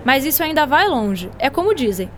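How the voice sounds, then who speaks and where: Kindly Brazilian doctor, high voice, patient, playful, radio drama, faint ambience. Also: female voice, very animated, moderate pace, a bit bright, slight background noise. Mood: explaining. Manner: patient, explanatory.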